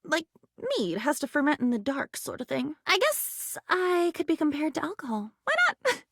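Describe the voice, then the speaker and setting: A whimsical female diary come to life for a video game. Her voice sits fairly high and swings widely in pitch as she muses aloud. She is curious, excitable and theatrical, recorded very dry with no room sound. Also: normal speed, no room sound, exaggerated voice. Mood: brisk, excited. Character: living diary, quirky, excitable, curious female datable character. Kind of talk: video game character voice acting.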